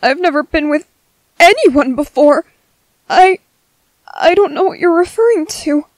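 A shy, tender young merboy, acted by a woman for an ASMR roleplay. The voice is high and soft, moving slowly and hesitantly as it soothes the listener. It sounds close and dry, with a caring, worried temper. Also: lively intonation, dry recording. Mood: confused, hesitant.

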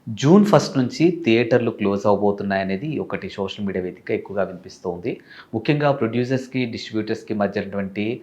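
A man's voice: lowish voice, expressive, quick pace, a little mellow, highs cut off. A man presenting and asking questions as the host of a news interview. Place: TV studio.

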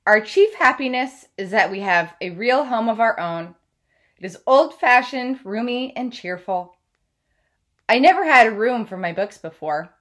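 Measured woman reading aloud. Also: fairly high pitch, animated, a bit bright. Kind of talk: read-aloud of a children's biography. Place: small room at home, indoors.

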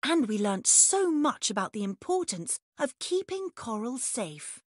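A woman playing a gentle, sensible mother pig in a children's cartoon game, polite and motherly. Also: a little high, very animated, a little slow, slightly rough, dry recording, studio quality, exaggerated voice. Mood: polite, warm. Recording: music under the voice.

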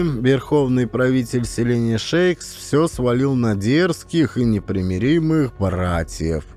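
A man narrating an adventure audiobook as a scripted story, with expressive, emphatic delivery. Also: medium pitch. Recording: music behind the voice. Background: music.

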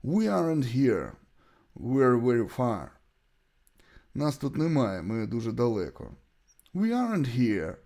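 Ukrainian-accented male teacher, very lively intonation. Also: mid-range voice. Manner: deliberate, repeats short sentences. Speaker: English teacher. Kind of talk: bilingual language lesson, reading example sentences and translations.

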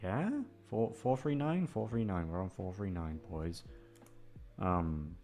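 A man's voice: deep voice, slow, darkish tone, soft background music. A man rambling in casual chat to his listeners, hesitant, repetitive, sweary and self-deprecating. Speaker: audio creator addressing listeners.

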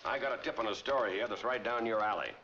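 An American actor playing a gruff, brisk, sarcastic newspaper editor in 1940s film style. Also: male voice, lowish voice, natural voice. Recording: noisy, dull 1940s film soundtrack.

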